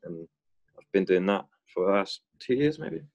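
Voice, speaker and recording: Young man from france, very low voice, halting with fillers and restarts, video call from a car. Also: expressive, creaky, darkish tone, highs cut off. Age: young adult. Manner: halting, many fillers and restarts, laughs easily. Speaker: guest musician. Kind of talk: casual video call interview. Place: inside a car.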